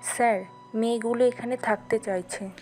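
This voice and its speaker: A woman's voice: on the high side, steady tone, moderate pace, a little mellow, faint music behind, natural voice. Composed, firm woman teacher acted by a woman. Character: female university teacher, composed, kindly but firm.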